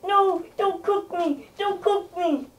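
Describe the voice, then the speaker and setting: A man's voice: extremely high pitch, slow, a bit bright, echoey room, recorded from a distance, a little hiss or hum, voice pushed up, full character voice. A boy doing a clueless baby dinosaur. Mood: naive. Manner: naive, whiny. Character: clueless childlike puppet dinosaur.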